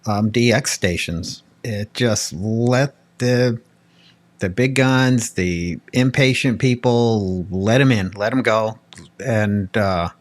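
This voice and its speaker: A man's voice: lowish voice, slow pace, no echo. An American man on a casual talk show panel, explaining things piece by piece. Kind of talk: casual chat.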